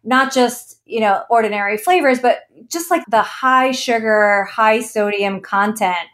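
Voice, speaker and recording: A woman's voice: a little high, unhurried, a bit bright. A woman in her thirties, originally from Ecuador, telling her founder story earnestly in an interview and laughing now and then. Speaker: founder guest. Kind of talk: interview conversation.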